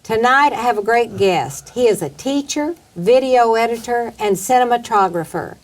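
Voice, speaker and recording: A woman's voice: a little high, expressive, normal speed. Woman host, encouraging, short prompting questions. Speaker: talk show host. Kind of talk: hosting an interview.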